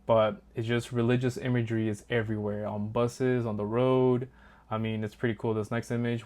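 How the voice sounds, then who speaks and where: A man hosting his own channel, talking casually through his street photos in a relaxed, rambling way with frequent ums and restarts. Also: mid-range voice. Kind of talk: casual talk over a photo slideshow.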